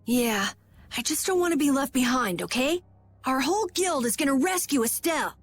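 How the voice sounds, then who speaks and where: High-voiced plucky little boy. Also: lively intonation, normal speed, faint music behind. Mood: weary, pleading. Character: weary, plucky, eager-to-help young boy. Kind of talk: voice acting in an English video game cutscene.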